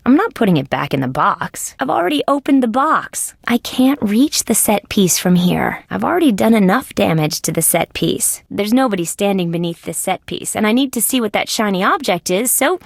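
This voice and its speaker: An actress playing a wry, level-headed female insect detective in a scripted video game, with a deadpan, dryly sarcastic manner. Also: medium pitch, normal speed, gravelly, few pauses, no echo, studio quality. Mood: matter-of-fact. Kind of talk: scripted adventure game voice lines.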